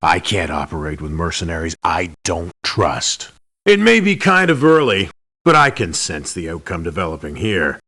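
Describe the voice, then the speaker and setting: A man's voice: low voice, wildly swooping pitch, normal speed, sharp tone, breathy edge, exaggerated voice. An actor playing a cocky, demanding male elite mercenary in a video game, speaking bluntly with clipped indignation. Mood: firm. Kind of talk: video game character voice lines.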